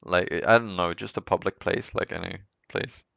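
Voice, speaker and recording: A man with a low voice and plenty of vocal fry chats casually as a podcast co-host. His delivery stays fairly even, in a dry, close recording. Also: moderate pace, no room sound, dull audio.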